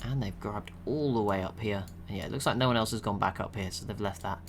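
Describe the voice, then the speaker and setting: A young man giving relaxed, chatty commentary over a strategy game he is watching, laughing to himself now and then. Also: lowish voice, fast talker, a little hiss or hum. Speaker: gaming channel host.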